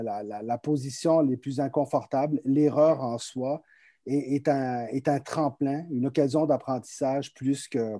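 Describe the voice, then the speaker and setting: A man's voice: medium pitch, dark tone, no room sound, clean studio sound. A man around forty, from Chile and raised in France, with an accent that is half Québécois and half French, speaking as a guest entrepreneur on a video call and repeating words as he thinks. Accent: mixed Québécois and French. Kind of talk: relaxed conversational storytelling. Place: home office on a video call.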